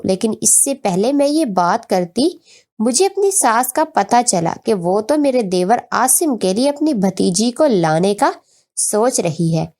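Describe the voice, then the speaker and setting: A woman with a somewhat high-pitched voice narrates a scripted story. She tells an emotional family tale in the first person, in the role of storyteller. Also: darkish tone.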